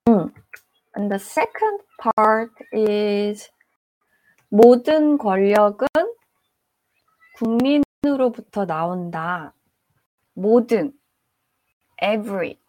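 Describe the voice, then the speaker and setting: A woman's voice: mid-range voice, vocal fry, darkish tone, rough recording. A woman with a Korean accent, a native Korean speaker, teaching Korean in English during a live-stream lesson, switching between the two languages and laughing often.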